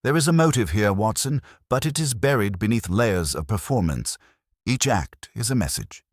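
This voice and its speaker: A man reading the part of a terse, brooding Victorian detective in an audiobook, grave and deliberate. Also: lowish voice, expressive, no echo, clean studio sound, voice pushed up. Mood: grave, intent. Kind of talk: audiobook character dialogue.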